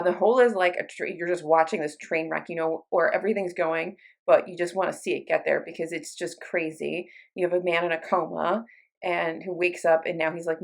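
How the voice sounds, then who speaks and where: A woman talking casually to her viewers as a book reviewer, in an informal, conversational way with self-interruptions. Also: mid-range voice. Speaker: book reviewer, video channel host. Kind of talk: casual chatty vlog talk to viewers.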